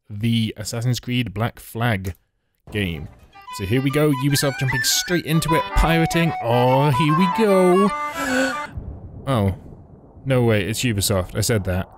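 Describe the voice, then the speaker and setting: A man's voice: medium pitch, very animated, normal speed. Sarcastic man talking over game music. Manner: wry, mocking, chatty asides. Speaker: let's play host. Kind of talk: sarcastic gameplay commentary.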